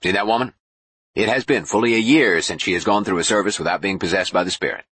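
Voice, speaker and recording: A man voicing a brash, jovial bishop in an audiobook, a folksy, booming hard-sell showman. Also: quick pace, a bit bright, breathy edge, no echo. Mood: hearty, preachy. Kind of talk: audiobook character voice.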